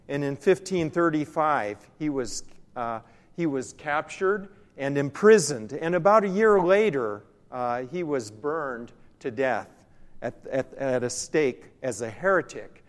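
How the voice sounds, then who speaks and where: An older man giving an earnest, somewhat halting missionary slide talk in public. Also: mid-range voice. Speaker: missionary Bible translation consultant giving a presentation. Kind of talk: slide-show mission talk to a church group. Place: large reverberant room or hall. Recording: echoey hall with long reverb.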